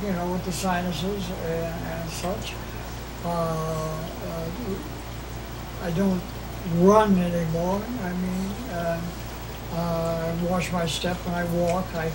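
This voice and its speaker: Halting slow-speaking man in his eighties. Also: high voice. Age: 80s. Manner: halting, many repeated words and fillers. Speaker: interviewee. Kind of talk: casual testimony. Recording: noisy home recording.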